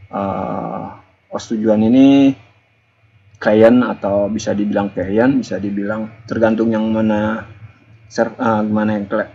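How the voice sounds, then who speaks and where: A man presenting an article review, partly reading aloud, in a halting, explanatory way with informal asides. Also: on the low side, a little mellow, highs cut off, a little hiss or hum.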